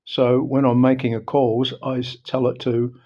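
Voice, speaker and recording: A man with an Australian accent giving an informal, unscripted how-to talk in a halting, repetitive, explanatory way, recorded a little dull on a device microphone. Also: medium pitch, flat delivery. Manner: halting, explanatory, often repeating himself. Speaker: presenter of a phone how-to video.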